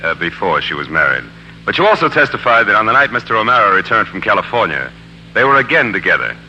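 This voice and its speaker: A pressing courtroom lawyer, formal and insinuating, with a hard bright voice and animated pitch. It is 1940s radio drama on a band-limited old broadcast. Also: male voice, fast, lo-fi sound, a little hiss or hum, heavily acted. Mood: sarcastic.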